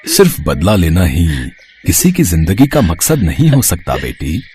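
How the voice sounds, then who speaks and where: Deep-voiced wise old man-acted fakir. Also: wildly swooping pitch, a little mellow, soft background music. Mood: solemn, gentle. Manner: slow, fatherly, solemn counsel. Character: wise old male fakir, calm mystic holy man.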